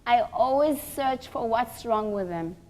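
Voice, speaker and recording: Female religious teacher, higher voice, deliberate and emphatic lecture delivery with pauses between short phrases. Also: lively intonation, a little mellow. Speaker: religious teacher giving a class for women. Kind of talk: religious lecture with rhetorical questions.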